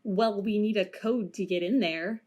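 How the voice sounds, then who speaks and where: A woman's voice: medium pitch, fairly even intonation, measured pace, background noise, natural voice. A woman playing a casual, teasing female crew member in an amateur audio drama. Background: music.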